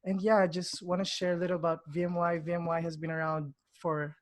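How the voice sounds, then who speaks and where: A man's voice: on the high side, level tone, fast talker, no echo. An eighteen-year-old man from Greece introducing himself to a podcast in a casual, joking way. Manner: giggly, joking. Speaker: guest.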